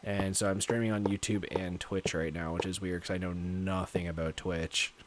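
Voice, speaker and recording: Tiefe, lässige nordamerikanische Männerstimme. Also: male voice, little pitch movement, moderate pace, slight background noise. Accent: North American. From North America. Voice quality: low natural voice, chill voiceover tone. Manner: rambling, many "like" fillers, laughs often. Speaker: streamer. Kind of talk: casual livestream chat.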